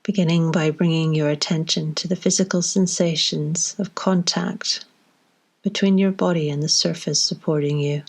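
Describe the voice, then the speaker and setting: A woman leading a guided body scan meditation, giving slow, gentle instructions with long pauses between phrases. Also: low voice, steady tone, husky.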